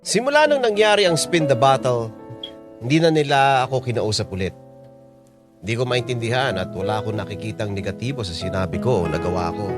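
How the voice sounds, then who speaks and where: A man's voice: medium pitch, close to an everyday voice. Reflective Filipino male actor as hurt young storyteller. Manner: earnest, reflective. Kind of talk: scripted dramatic monologue narration in a radio drama.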